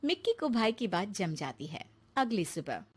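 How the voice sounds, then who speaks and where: A woman's voice: medium pitch, very animated, moderate pace, slightly rough. A woman narrator telling a scripted cartoon story.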